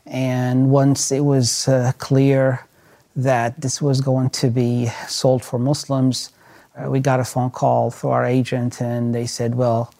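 A middle-aged man from Syria speaking in a measured way in an interview, with fillers. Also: mid-range voice, gravelly, dark tone, dry recording.

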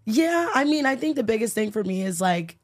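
A woman's voice: on the high side, big pitch swings, fairly quick, background noise. A woman in her twenties, a podcast guest, chatting casually and expressively. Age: 20s. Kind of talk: casual chat.

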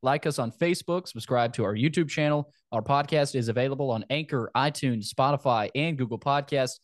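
A man with a mid-pitched voice hosts a casual sports podcast. He talks conversationally and circles back over phrases for emphasis. The recording is close and dry. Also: fairly quick, dry recording.

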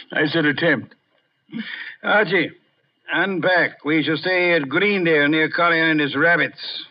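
Grumbling, lofty genius detective, older, higher-set voice, vintage muffled radio mystery. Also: male voice, slow pace, long pauses, a little hiss or hum. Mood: dry, lofty. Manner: pompous, ornate wording, grumbling. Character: irascible, erudite, lazy genius private detective, older man. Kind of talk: 1950s radio detective drama acting.

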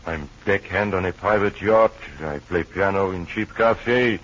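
A man's voice: low voice, measured pace, fairly bright tone. An actor playing a sick, delirious Frenchman in a radio drama, fervent, with a French accent and French phrases mixed in. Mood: wistful. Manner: fervent, drops French phrases into his talk. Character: sick, delirious Frenchman, devoted and stubbornly noble. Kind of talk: old-time radio drama acting. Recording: muffled, noisy old radio recording.

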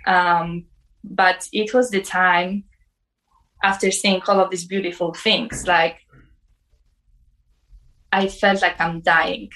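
A woman's voice: medium pitch, a bit bright, low-quality recording. Young woman, personal testimony, hesitant, filler-heavy. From Poland. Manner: halting, many "like" fillers.

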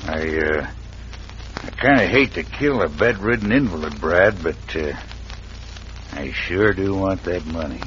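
An actor playing a hesitant, greedy ranch foreman in an old-time radio western, speaking in a halting, folksy drawl. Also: sits low, animated, a bit bright. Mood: uneasy, hesitant. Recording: dull, very noisy vintage radio recording.

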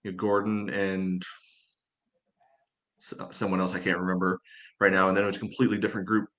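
Man, American accent, fairly low pitch, informal sports discussion, podcast co-host. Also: flat delivery, fairly quick, a bit bright.

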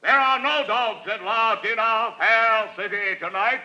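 An actor playing a pompous, easily indignant mayor giving stump oratory in an old-time radio comedy. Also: male voice, sits high, steady tone, unhurried, sharp tone, highs cut off, full character voice.

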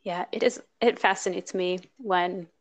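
A woman's voice: fairly low pitch, fairly even intonation, a little slow, no echo. A woman hosting a podcast, upbeat and chatty, with easy laughter in a casual conversation. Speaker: podcast host.

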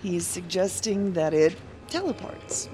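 A woman's voice: lowish voice, expressive, measured pace, dark tone. A woman playing an exasperated, no-nonsense federal agent in a science fiction audio drama, pointed and reproachful. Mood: skeptical. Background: music.

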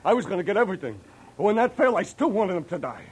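An actor playing a resentful, murderous secretary in an old radio drama, with a sneering, sarcastic manner. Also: male voice, high voice, big pitch swings, rapid-fire, slightly rough, heavily acted. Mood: sullen, defiant. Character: resentful murderous male secretary, cornered and menacing. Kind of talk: 1940s radio drama acting. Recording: noisy old radio recording.

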